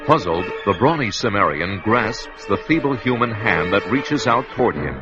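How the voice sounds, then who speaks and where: A man's voice: lowish voice, very animated, normal speed. Dramatic male radio-play narrator. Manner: dramatic, emphatic. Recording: dull lo-fi radio play with music.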